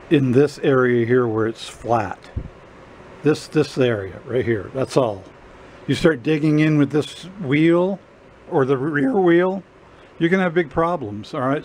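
Older male how-to host, medium pitch, folksy and casual, recorded on camera in a garage with noticeable noise. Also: a little mellow. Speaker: drum restorer hosting a how-to video. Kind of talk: casual hands-on workshop demonstration. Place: cluttered garage workshop. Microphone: camera a short distance away. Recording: clear background noise, workshop ambience.

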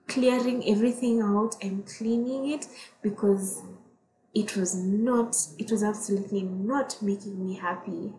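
A young woman talks slowly in a loose, rambling way, repeating her words as she goes. Her voice sits at a middle pitch and carries some room echo from a home kitchen. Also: a little mellow. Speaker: vlogger. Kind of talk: casual voice-over while cleaning.

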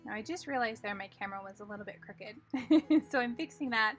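A woman calmly explaining each step as she narrates a card-making tutorial. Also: fairly high pitch, brisk, soft background music. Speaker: craft tutorial host.

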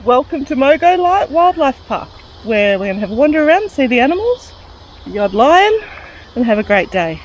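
A woman, narrating a casual travel vlog in a relaxed, chatty way while touring outdoors. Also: high-pitched, moderate pace, a little hiss or hum, poor audio. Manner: chatty, relaxed tour guiding. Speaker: travel vlogger. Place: outdoors touring a town and wildlife park.

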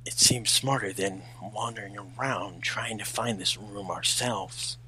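A man voicing a brooding, peevish hedgehog rival in a fan-fiction reading, husky and breathy, with curt, condescending delivery. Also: on the low side, a little slow, bright, forceful tone, a little hiss or hum, rough recording. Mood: cool, curt.

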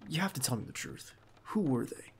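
A man plays a protective bodyguard in an intimate ASMR audio drama. His voice sits at an average male pitch with a heavy gravelly fry. He talks in a hushed, halting, reassuring way, with faint noise behind him. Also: animated. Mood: uneasy, conversational. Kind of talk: intimate ASMR roleplay acting.

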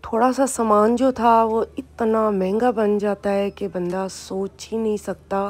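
A woman's voice: medium pitch, steady tone, a little slow, a little mellow. Chatty woman giving a supermarket tour. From Pakistan. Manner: chatty, explaining prices and products. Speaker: vlogger. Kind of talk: casual vlog narration. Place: supermarket, indoors.

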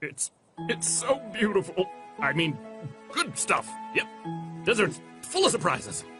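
A woman voicing a big, furry, boastful and goofy male creature in an animated series, with a cocky, jokey manner. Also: mid-range voice, measured pace, over music. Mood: awed.

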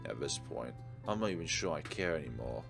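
A man's voice: a bass voice, sing-song, fairly quick, vocal fry. A man hosting a let's play, reading game text aloud and commenting on it. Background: game music.

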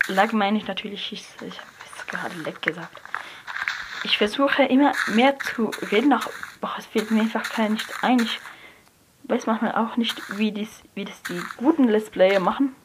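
Hesitant young voice, noisy phone recording. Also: female voice, mid-range voice, moderate pace. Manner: hesitant, self-correcting, often drops to a soft whisper. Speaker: let's player. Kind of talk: casual gameplay commentary. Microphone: phone screen recorder mic.

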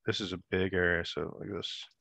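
A man in his thirties walks through a software demo over a video call, easygoing and full of fillers. His voice sits low but sounds bright. The headset sound is dry and close. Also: a little fast, gravelly, no room sound. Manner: relaxed, explanatory, many fillers. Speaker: app demonstrator. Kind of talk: screen-share software demo.

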